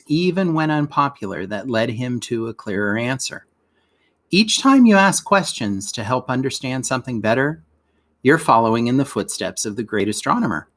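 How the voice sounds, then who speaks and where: A man reads a children's educational text aloud in the role of a teacher. His intonation is lively and varied, rising and falling freely. He explains as he goes, leaning on important words to guide young listeners. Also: medium pitch. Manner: explanatory, poses rhetorical questions, stresses key words. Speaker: teacher reading a lesson aloud. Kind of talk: educational read-aloud of scripted narration for children.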